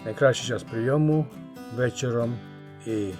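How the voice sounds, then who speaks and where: A man giving a casual hands-on review as a channel host, halting and thinking aloud, muttering remarks to himself. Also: mid-range voice, over music. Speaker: channel host, radio repairer.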